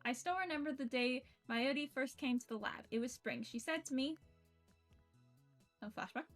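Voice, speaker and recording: A woman streamer reading visual novel narration aloud in a playful, expressive storytelling way, with casual asides. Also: high-pitched, normal speed. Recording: music behind the voice.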